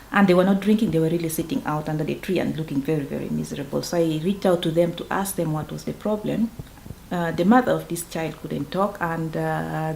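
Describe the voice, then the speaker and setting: A woman who founded a farming project speaks in an earnest, measured way, her voice sitting a little low. She is recorded in an echoey room with faint background noise behind her. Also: gravelly, a little mellow. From northeastern Uganda. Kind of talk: documentary interview testimony.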